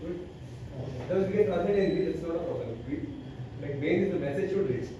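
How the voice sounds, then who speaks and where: Man, Indian English accent, polite host, fairly high voice, roomy distant sound. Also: animated, fairly quick, dull audio. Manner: polite, interjecting. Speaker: event host and translator. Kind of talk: casual moderating. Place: large echoey hall. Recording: echoey hall recording from far off, with noise.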